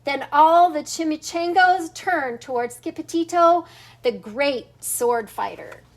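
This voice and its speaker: A woman's voice: high-pitched, takes it slowly. An older woman reading a children's storybook aloud expressively, with a chuckling, slightly stumbling delivery. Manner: stumbles over words, chuckles as she reads. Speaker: storybook reader.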